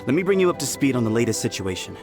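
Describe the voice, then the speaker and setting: A man's voice: medium pitch, big pitch swings, racing, over music. An actor voicing a worried but relieved male farm villager in an anime game, reporting to his leader. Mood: relieved, businesslike.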